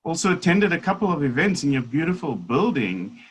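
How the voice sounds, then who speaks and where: A man in his fifties from Africa, telling his personal story as a guest speaker in a measured, unhurried way with frequent pauses. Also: fairly high pitch, big pitch swings, a little hiss or hum, low-quality recording. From South Africa. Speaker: guest speaker, seminary academic. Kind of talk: reflective personal storytelling in conversation.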